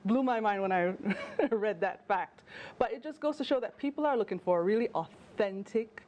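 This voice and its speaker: A woman in her thirties with a Jamaican accent, a travel journalist talking as a guest in a TV studio interview, animated and chatty with fillers and restarts. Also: mid-range voice, moderate pace, highs cut off. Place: TV news studio. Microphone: lapel mic.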